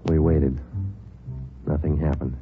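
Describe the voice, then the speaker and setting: A man's voice: extremely low pitch, flat delivery, slow. An actor with an American accent playing a clipped, matter-of-fact police sergeant who narrates his case in a 1940s radio drama. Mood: terse, businesslike. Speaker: lead actor and narrator of radio detective series. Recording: muffled 1940s radio recording with music.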